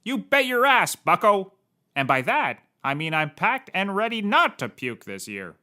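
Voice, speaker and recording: Man as excitable high-pitched comedy private eye. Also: measured pace, exaggerated voice. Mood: cocky. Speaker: actor in scripted comedy series. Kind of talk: comedic mock-noir detective slang acting.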